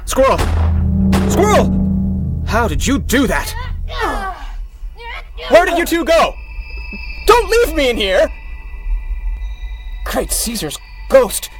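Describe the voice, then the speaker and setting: An actor playing an earnest, easily flustered rookie hero with exclamatory delivery in a 1930s-style radio serial. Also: male voice, very high voice, huge pitch swings, takes it slowly, long pauses, over music, full character voice. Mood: bewildered, frantic.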